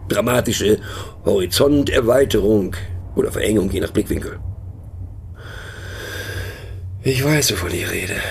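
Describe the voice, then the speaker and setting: An actor playing a middle-aged, pensive and mocking narrator in a radio play monologue, talking haltingly and wryly as if to a listener. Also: male voice, fairly low pitch, darkish tone, a little hiss or hum, full character voice. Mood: mocking, musing. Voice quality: husky, gravelly. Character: musing, mocking male narrator pondering abstract words.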